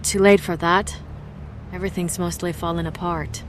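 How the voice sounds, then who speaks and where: A woman's voice: fairly low pitch, steady tone, normal speed, lightly acted. A woman acting a focused, uneasy paranormal investigator in an audio drama. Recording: clear background noise.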